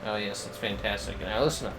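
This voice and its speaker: A man's voice: fast talker, music in the background. A man livestreaming a game, muttering casual, wry, offhand commentary to himself. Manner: low-key, wry, offhand.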